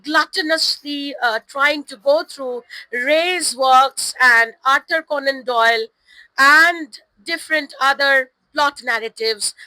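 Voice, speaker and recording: A woman with a hoarse voice, hosting and introducing guests at a book fair stall with effusive, formal introductions. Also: sits high, pushed and bright, no room sound, low-quality recording. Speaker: publisher's host. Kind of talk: event introduction.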